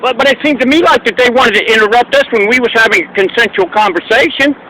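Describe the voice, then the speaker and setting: A man's voice: very high voice, fast, sharp tone. An American man arguing persistently in casual street talk, repeating his questions and phrases. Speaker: street interviewer and activist. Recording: noisy outdoor recording with traffic.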